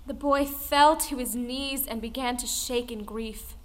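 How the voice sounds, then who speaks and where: A woman's voice: a little high, unhurried. A woman narrating a folktale audio play in a dramatic storytelling style.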